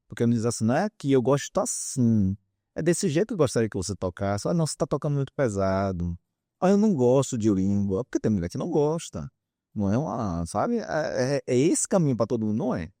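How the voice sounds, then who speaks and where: Lively man in his fifties. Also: on the low side, dry recording, clean studio sound. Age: 50s. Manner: opinionated, acts out quoted remarks, repeats words for emphasis. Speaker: guest psychologist and author. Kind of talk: interview talk, explaining with examples.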